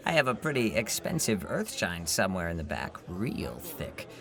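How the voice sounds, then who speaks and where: A man playing an affable, nervous bar owner in a noir audio drama, gravelly and hesitant, in a folksy, placating way. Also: low-pitched, big pitch swings, normal speed, crowd noise, heavily acted. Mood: affable, teasing.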